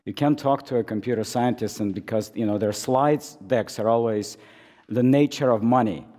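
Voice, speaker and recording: Hoarse man lecturing in a reverberant hall. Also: mid-range voice, warm tone. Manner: halting, many fillers and restarts. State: hoarse, losing his voice. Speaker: conference speaker. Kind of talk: academic conference talk. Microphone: amplified through a microphone.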